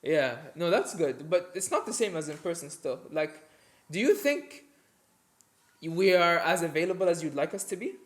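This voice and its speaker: Higher-pitched young man, earnest. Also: expressive, normal speed. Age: young adult. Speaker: podcast co-host. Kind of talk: casual sibling podcast chat.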